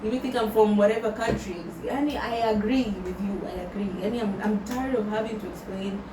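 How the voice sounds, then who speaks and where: A young woman from Tanzania, talking slowly and thoughtfully in a personal vlog and slipping Swahili words into her English. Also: mid-range voice, fairly even intonation. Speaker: vlogger. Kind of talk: reflective personal vlog, answering questions. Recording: roomy sound from a distant mic with loud background noise.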